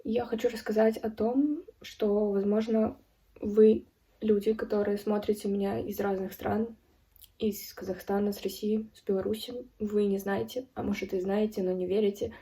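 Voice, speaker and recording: A woman in her early twenties from Ukraine giving a serious personal account as a video blogger, speaking in halting, deliberate phrases with pauses. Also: mid-range voice, fairly even intonation, warm tone, far from the mic. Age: early 20s. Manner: serious, solemn. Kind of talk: personal account spoken to camera.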